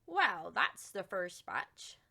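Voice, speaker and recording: A woman's voice: fairly low pitch, very animated, slow pace, gravelly, sharp tone, full character voice. A woman voicing a stubborn, grumbling woman from a children's picture book, muttering and exclaiming theatrically. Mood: brisk. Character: stubborn, grumbling woman fretting over unwanted things.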